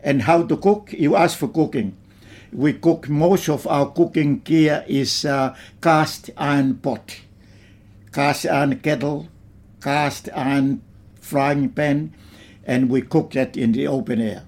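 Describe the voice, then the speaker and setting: An older man from Tonga, recalling his childhood in a slow, plain way during an oral history interview. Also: on the high side, darkish tone. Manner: slow, plain reminiscing with simple phrasing.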